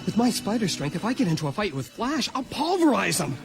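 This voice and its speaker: Teenage superhero, high voice, self-restraining, thinking aloud, radio drama, music behind. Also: male voice, very animated, fairly quick, gravelly, heavily acted. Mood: tense, restrained.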